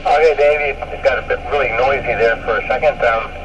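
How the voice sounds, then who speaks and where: A middle-aged man chatting casually as a ham radio operator, pausing hesitantly as he talks. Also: mid-range voice, steady tone. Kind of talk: casual, unhurried radio chat. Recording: dull, noisy long-distance FM radio transmission.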